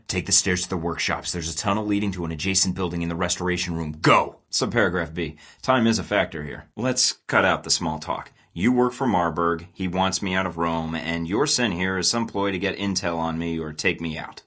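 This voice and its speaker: A man's voice: sits low, a bit bright. A terse male spy agent. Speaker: video game character. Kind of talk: game voice lines.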